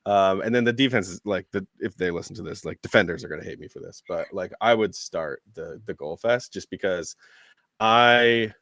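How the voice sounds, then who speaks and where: A man with a fairly low voice talks casually as a sports podcast co-host. He is laid-back and works through his opinions out loud, in a very dry recording. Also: normal speed, no echo.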